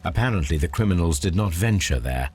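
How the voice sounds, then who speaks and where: An actor voicing a calm, precise gentleman detective who speaks in a measured, deliberate way in a video game. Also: sits low, a little hiss or hum.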